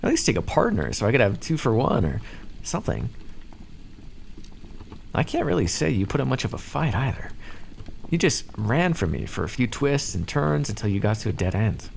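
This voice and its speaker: Smug male vampire scientist, acted by a man, low gravelly voice, condescending theatrical teasing, politely menacing, ASMR roleplay. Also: very animated, normal speed, slight background noise. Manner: condescending, theatrical, teasing drawl with pet names. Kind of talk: ASMR roleplay monologue addressed to the listener.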